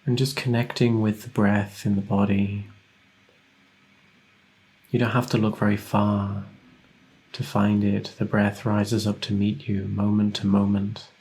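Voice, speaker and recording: A man leading a guided meditation as a teacher, giving gentle, unhurried instructions with long pauses. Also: on the low side, completely flat delivery, low-quality recording.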